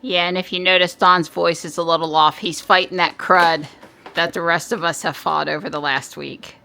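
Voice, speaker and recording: A woman's voice: fairly low pitch, fairly even intonation, normal speed, vocal fry, pushed and bright, slight background noise. An older woman chatting casually and easily, her nose stuffy from a cold. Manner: chatty, easygoing. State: stuffy, congested. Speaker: co-host. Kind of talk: casual chat.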